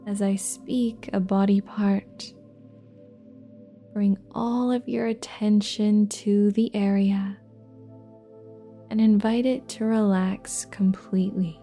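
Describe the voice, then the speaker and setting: A woman leading a guided relaxation meditation in a soft, gentle, breathy and soothing voice, leaving long pauses between phrases. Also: mid-range voice. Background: soft new-age music with singing bowls.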